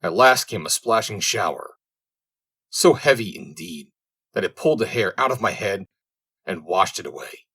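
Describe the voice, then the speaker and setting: A man's voice: sits low, expressive, normal speed, sharp tone, husky, exaggerated voice. A man voicing a placid, lazy storybook servant with a shrugging, nonchalant way of talking.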